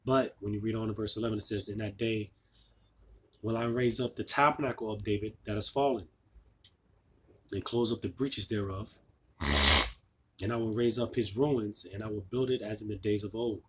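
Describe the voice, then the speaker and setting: Low hoarse man preaching in halting bursts. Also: steady tone, a little hiss or hum, poor audio. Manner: halting, many fillers and restarts. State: losing his voice. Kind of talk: informal sermon video.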